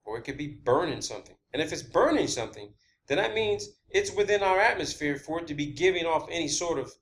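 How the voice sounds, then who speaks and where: A man hosting an informal theorizing monologue, speaking in a halting, repetitive and emphatic way with blunt wording. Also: a little high, lively intonation, a bit bright, a little hiss or hum. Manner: blunt, swearing. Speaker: video host.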